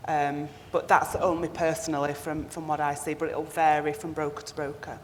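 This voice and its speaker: A woman with a fairly low voice moderates a panel, brisk and to the point as she steers questions. Her voice rings with long hall reverb over a faint background hiss. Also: level tone. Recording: echoey hall, faint noise.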